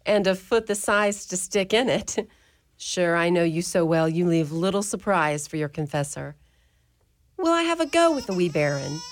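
Woman doing a bossy mother superior, lively lilting voice. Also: mid-range voice, slight background noise. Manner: commanding, then cooing. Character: brisk, stern but tender Irish-style Mother Superior.

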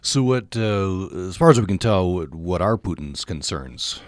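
A man hosting a radio interview, asking questions in a halting way as he searches for words. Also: low voice, lively intonation, no echo, clean studio sound. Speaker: radio show host.

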